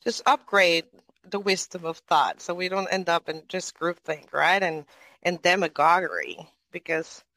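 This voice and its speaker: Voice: mid-range voice, a little slow, sharp tone, no echo, poor audio. A moderator from Venezuela in a casual online audio chat, asking a long, rambling question and often tagging on "right?".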